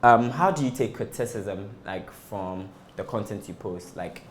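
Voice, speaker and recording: A man's voice: lowish voice, animated, unhurried. A man hosting a casual panel interview, putting questions to the guests. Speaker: host.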